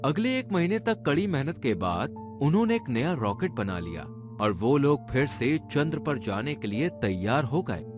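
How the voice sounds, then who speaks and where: A man's voice: mid-range voice, big pitch swings, normal speed. A man narrating a scripted dramatic story in a suspenseful storytelling manner. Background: background music.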